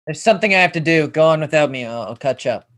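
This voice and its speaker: A man's voice: on the high side, lively intonation, quick pace, a bit bright. A man acting a conflicted, desperate young film crew underdog in a screenplay table read, earnest and emotional. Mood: conflicted pleading.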